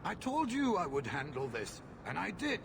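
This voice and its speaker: A man's voice: high-pitched, wildly swooping pitch, normal speed, vocal fry, background noise, full character voice. An actor voicing a haughty, hot-tempered, ruthless merchant in a video game, pompous and contemptuous in his formal phrasing. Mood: haughty, irritated. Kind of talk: video game cutscene acting.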